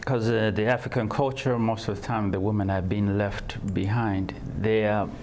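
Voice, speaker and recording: A man from Tanzania answers an interviewer's questions in a low voice. He talks haltingly, repeating words and restarting sentences as he searches for the right phrasing. A faint noise sits under the recording. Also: level tone, darkish tone. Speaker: guest.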